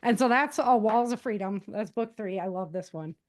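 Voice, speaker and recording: A woman with an American accent speaking casually as a guest author, telling the story of her own novels in an animated, conversational way. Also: medium pitch, moderate pace.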